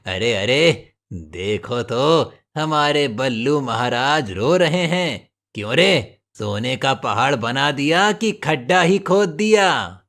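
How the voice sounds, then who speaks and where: A man's voice: mid-range voice, expressive, slow pace, few pauses, fairly bright tone. A man playing a greedy, cunning village villain in a cartoon moral story, taunting and domineering. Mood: mocking.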